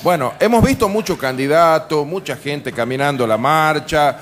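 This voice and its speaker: Higher-pitched Argentine man, wry storyteller. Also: moderate pace. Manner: colloquial, swearing, wry storyteller. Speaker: radio show host. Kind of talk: humorous casual radio banter. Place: live radio broadcast from a bar.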